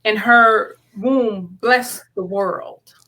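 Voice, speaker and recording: A woman's voice: on the high side, drawn-out words, bright, forceful tone, rough recording. A woman speaking as a guest author, answering in a conversational, sincere and reflective way about matters of faith.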